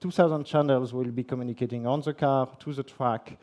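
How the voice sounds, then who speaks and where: French man, conference speaker, mellow low-toned voice, halting delivery with fillers. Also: steady tone. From France. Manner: halting, many fillers and restarts. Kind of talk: technical conference presentation. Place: conference hall.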